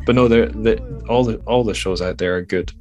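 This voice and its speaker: A man's voice: lowish voice, a little fast, muffled recording, music in the background. A man hosting a podcast, chatting casually with wry, self-deprecating, rambling asides. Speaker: podcast host. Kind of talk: casual podcast chat and outro.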